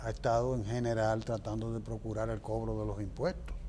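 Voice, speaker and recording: A man's voice: on the low side, little pitch movement, brisk, dark tone, slight background noise. A man with a Dominican Spanish accent giving opinionated, explanatory political answers as an interview guest, emphatic and fond of rhetorical questions.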